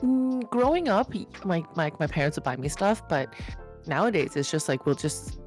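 A young woman co-hosting a casual podcast chat, telling stories in a relaxed way. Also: lowish voice, normal speed, soft background music. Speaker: podcast co-host.

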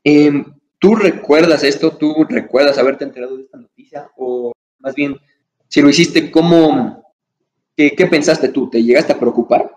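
A man's voice: fairly high pitch, moderate pace, darkish tone, low-quality recording. Young man hosting with rambling questions. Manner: rambling questions with restarts and fillers. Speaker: podcast host. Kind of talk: casual interview.

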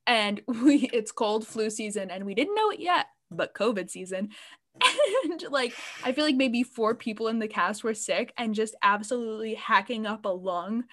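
A young woman, a theater student, co-hosting a podcast, telling stories in an animated way and laughing often. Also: a little high, normal speed. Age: young adult. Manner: animated storyteller, giggly. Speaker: podcast co-host. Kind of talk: casual chat.

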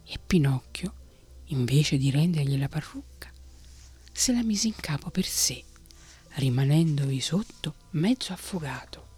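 A woman reading a children's story aloud in a soft, unhurried whisper. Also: deep voice, animated, husky, soft background music.

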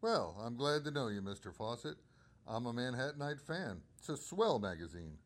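A man's voice: mid-range voice, big pitch swings, normal speed, lightly acted. A man playing an obliging telephone company supervisor in a radio drama, explaining things courteously. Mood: affable, obliging. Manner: courteous, explanatory, crisp phrasing.

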